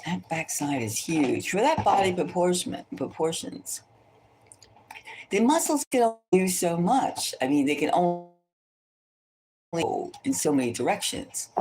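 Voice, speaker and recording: A woman's voice: lowish voice, very animated, a little slow, pauses often, a little mellow, a little hiss or hum, poor audio. A woman tarot reader chatting casually and thinking aloud, gossipy and opinionated, often rambling and repeating her phrases. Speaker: tarot reader hosting a celebrity psychic reading.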